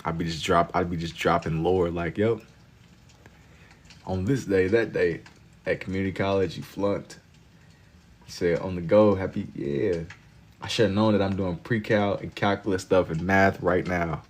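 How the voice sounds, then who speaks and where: A man in his twenties hosting a livestream, chatting casually with viewers in laid-back slang and getting loud and laughing when teased. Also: sits low, fairly even intonation, normal speed. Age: 20s. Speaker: livestream host. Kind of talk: casual chat with viewers while drawing.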